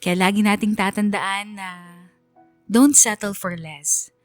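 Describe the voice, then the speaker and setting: A woman's voice: mid-range voice, measured pace, no echo, soft background music, lightly acted. A woman playing a reflective, loyal young woman who narrates her story in a radio drama, earnest and confessional. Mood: content, resolute.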